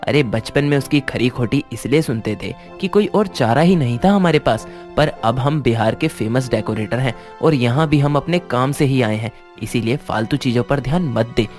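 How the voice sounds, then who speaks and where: A man playing a brooding young elder brother with a stern, curt manner in an animated story. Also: mid-range voice, normal speed, few pauses, faint music behind, close to an everyday voice. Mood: irritated, stern. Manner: curt, scolding.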